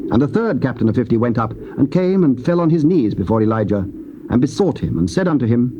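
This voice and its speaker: A man's voice: medium pitch, lively intonation. Stately male scripture narrator. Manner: measured, stately reading. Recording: dull tape with hiss.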